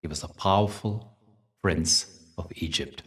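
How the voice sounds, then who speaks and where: A man with a deep, low voice leads a guided meditation. He speaks gently and deliberately, pausing for effect as he tells stories and soothes the listener. His voice sits a little distant from the microphone. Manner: gentle, deliberate, soothing delivery with dramatic pauses. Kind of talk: guided meditation talk with storytelling.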